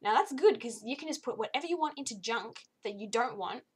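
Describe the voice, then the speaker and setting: A young woman with a slightly croaky voice, giving a chatty, easily exasperated video game review with lots of fillers. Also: on the high side, lively intonation, a little fast. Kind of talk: casual spoken game review.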